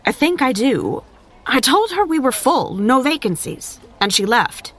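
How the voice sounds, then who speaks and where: An actress playing a cautious, probing young hotel worker in an audio drama. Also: female voice, high-pitched, very animated, a little slow, fairly bright tone, heavily acted. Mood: guarded. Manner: cautious, questioning.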